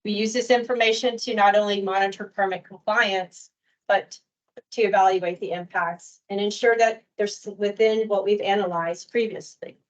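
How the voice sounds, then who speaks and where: A woman giving a measured, detailed presentation on permit rules at a workshop. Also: medium pitch, steady tone, rough recording. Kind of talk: prepared presentation.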